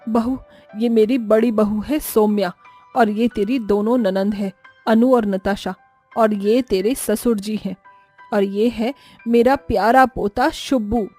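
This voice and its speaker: A woman voicing a homely, bossy middle-aged mother-in-law who gives brisk instructions in an animated family story. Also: mid-range voice, soft background music, voice pushed down, lightly acted. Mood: welcoming, brisk.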